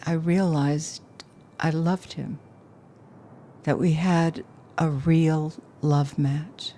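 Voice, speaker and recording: A low, slow female voice from an actress plays a self-possessed businesswoman marked by grief in an audio play. She recounts her past in a measured, reflective monologue. There is clear noise and a rumble behind her. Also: steady tone, mellow. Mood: wistful, reflective. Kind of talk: intimate dramatic monologue, audio play acting.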